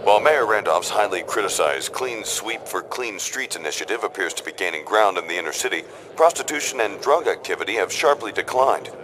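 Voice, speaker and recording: An actor playing a formal television news reporter in a video game, reading a scripted report. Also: male voice, lowish voice, expressive, background noise, lightly acted. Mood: formal, neutral. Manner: formal, measured newsreader delivery.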